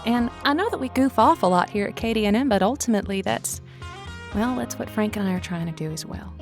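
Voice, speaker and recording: A woman's voice: mid-range voice, lightly acted. An actress playing a quirky, chatty woman who hosts a lonely-hearts radio show, wordy and playfully self-deprecating. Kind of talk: scripted fiction podcast, conversational radio-host patter.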